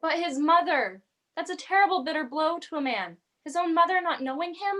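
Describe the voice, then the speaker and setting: High-voiced, stern heavenly superior played by a woman. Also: a little fast, bright, forceful tone. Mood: reproachful. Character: stern, commanding female heavenly superior of an angel. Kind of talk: amateur radio play acting.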